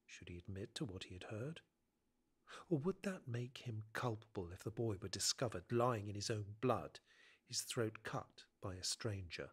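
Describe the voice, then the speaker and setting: A man narrating an audio drama in a literary, measured, suspenseful way. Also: on the low side, lively intonation, breathy edge. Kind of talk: dramatic scripted story narration.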